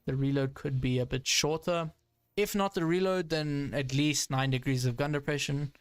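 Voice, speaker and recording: A man's voice: on the high side, steady tone, normal speed, clear, resonant tone, dry recording. A young man giving casual live commentary while playing a tank game, chatty with frequent fillers and frustrated asides. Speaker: gaming streamer.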